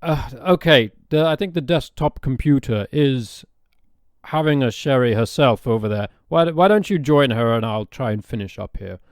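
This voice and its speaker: A man's voice: mid-range voice, lively intonation, moderate pace, dry recording, clean studio sound, close to an everyday voice. A man playing an irritable, self-important space therapist who stammers and gets flustered in an improvised comedy. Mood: exasperated. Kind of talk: improvised comedy audio drama.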